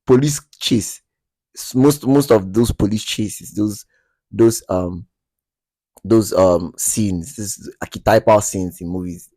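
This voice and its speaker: A man, co-hosting a podcast in casual chat, animated and quick to defend his views, laughing often and restarting sentences. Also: on the low side, no echo. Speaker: podcast co-host.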